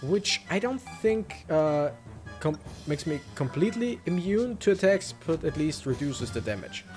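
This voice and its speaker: A man's voice: fairly high pitch, big pitch swings, measured pace, darkish tone. A man hosting a let's play with casual, rambling commentary, thinking aloud as he plays. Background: faint video game music.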